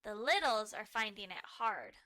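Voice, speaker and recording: A woman narrating a children's storybook aloud, expressive and animated, carefully phrased for early readers. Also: mid-range voice, moderate pace, no room sound, clean studio sound.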